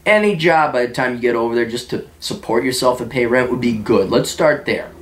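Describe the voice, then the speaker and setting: A man hosting a podcast, answering listener questions in a blunt, sarcastic way and mocking some of them in high put-on voices. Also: a little fast, fairly bright tone, echoey room. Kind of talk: blunt casual monologue reading out and answering listener mail.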